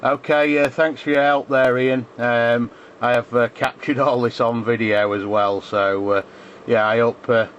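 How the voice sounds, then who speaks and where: A man's voice: mid-range voice, level tone, unhurried, a bit bright. A man with an English accent chats casually as an amateur radio operator, hesitating and repeating phrases. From England. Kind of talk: casual ham radio contact. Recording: dull sound with faint radio noise.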